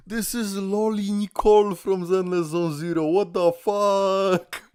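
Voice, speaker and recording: A man whose first language is Polish, hosting a casual game reaction video and talking in an excitable, rambling way full of fillers. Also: high-pitched, dry recording, clean studio sound.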